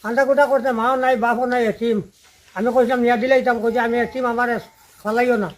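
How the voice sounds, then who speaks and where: A man's voice: extremely high pitch, flat delivery, fairly quick. A middle-aged man with a Sylheti accent telling a personal account in a rambling, repetitive, unscripted way. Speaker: father-in-law telling his family's story.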